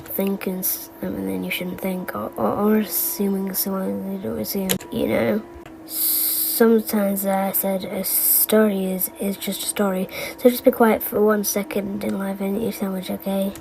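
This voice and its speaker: A rambling animatronic bear from a video game, acted out by a woman, muses in a creaky deadpan. The delivery halts and stammers, drifting absent-mindedly from one digression to the next. It sounds like a home recording with clear noise behind it. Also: mid-range voice, measured pace. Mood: deadpan, rueful. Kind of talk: fan recital of game voice lines.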